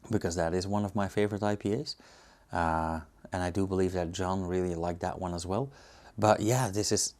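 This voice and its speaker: Male reviewer, Belgian accent, lowish pitch, informal and unscripted, hesitant and reflective delivery. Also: darkish tone. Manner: hesitant, musing, searching for words. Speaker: beer reviewer and host. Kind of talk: casual unscripted tasting commentary.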